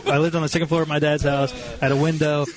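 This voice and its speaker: A man's voice: a little high, flat delivery, rapid-fire, over a noisy crowd. An American man in his twenties telling stories in a casual, self-deprecating way as a guest on a radio show. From Missouri, United States. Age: 20s. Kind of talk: casual chat, personal storytelling.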